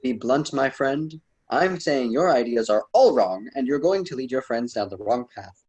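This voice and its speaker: Husky guy doing a wise old-world poet mentor, formal and lecturey, radio play. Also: mid-range voice, lively intonation, a little mellow. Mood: firm advising. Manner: formal, measured, didactic. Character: wise, wordy ancient poet mentoring a student. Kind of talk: amateur student radio drama acting.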